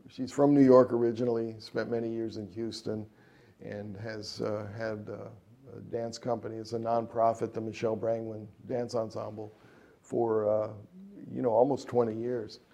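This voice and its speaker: A middle-aged man talks about his music career in a relaxed, meandering interview. His voice is gravelly and dark, with an even, flat delivery. He pauses often on fillers and restarts his sentences. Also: lowish voice. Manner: rambling, many fillers and restarts. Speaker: jazz trumpet player and composer.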